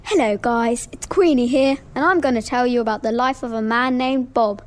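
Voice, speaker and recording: A woman's voice: fairly high pitch, moderate pace. A young girl narrating a scripted amateur radio play.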